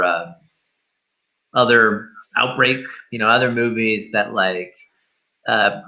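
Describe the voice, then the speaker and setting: A man chatting casually about films as a podcast host, in a hesitant, halting manner. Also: medium pitch, pushed and bright, lo-fi sound. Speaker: film review podcast host. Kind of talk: casual movie discussion.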